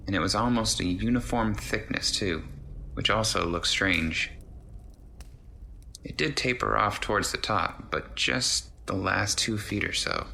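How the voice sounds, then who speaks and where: A man with a very low but bright, forceful voice reads a first-person creepy tale. His telling is conversational and descriptive, with faint noise behind him. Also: vocal fry. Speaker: narrator reading a listener's true story. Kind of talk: first-person scary story narration.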